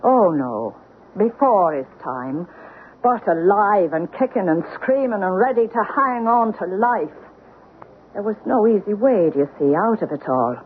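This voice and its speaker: An actress playing an older Irish woman who gravely tells family secrets in deliberate, lilting radio-drama storytelling. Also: medium pitch, lively intonation, natural voice. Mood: confiding. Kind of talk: old-time radio drama acting. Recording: tinny, noisy old radio broadcast.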